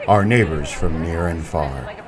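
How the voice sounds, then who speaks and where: A man's voice: low-pitched, a little slow. A man hosting radio coverage of a protest, reading scripted narration. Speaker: radio host and reporter. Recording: crowd noise behind the voice.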